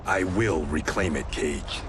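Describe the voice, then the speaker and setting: A man's voice: very deep voice, takes it slowly, noisy background. A man playing a stern, proud clan swordsman in a video game, speaking in a curt, serious, impatient manner. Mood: irritated. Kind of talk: cinematic video game acting.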